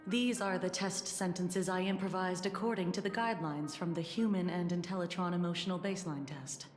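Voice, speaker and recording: An actress playing a composed, clinical government official in a video game, speaking in a measured, formal way. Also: female voice, lowish voice, steady tone, reverberant, faint music behind, natural voice. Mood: detached, formal. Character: composed, clinical female official of a sci-fi emergency agency. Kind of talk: scripted video game voice acting.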